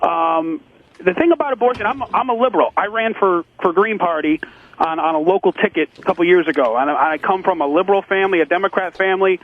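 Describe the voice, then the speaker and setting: A man's voice: sits high, fast talker. A man phoning in to a radio show, arguing earnestly and casually, insistent and stammering on word starts over a phone line. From United States, Connecticut. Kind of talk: earnest, casual political argument.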